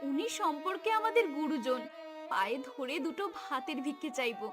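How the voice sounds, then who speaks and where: Woman voicing a high-pitched plaintive poor village mother. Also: sing-song, moderate pace, over music. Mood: weary pleading. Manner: pleading, plaintive. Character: poor village mother, humble, pleading, emotional. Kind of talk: cartoon voice acting.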